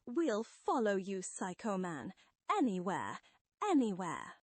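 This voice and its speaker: A woman's voice: medium pitch, sing-song, slow, slightly rough, dry recording, heavily acted. A woman voicing a fearful, servile henchman in an urgent, anxious manner. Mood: submissive. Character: boastful henchman turned fearful, servile follower.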